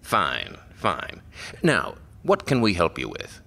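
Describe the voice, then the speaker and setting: A low, gravelly library director, played by a man in an audio horror drama. He talks in a chummy, smooth way with chuckles, and his pitch swoops lively up and down. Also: slow pace, exaggerated voice. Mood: explaining. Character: genial small-town library director, man.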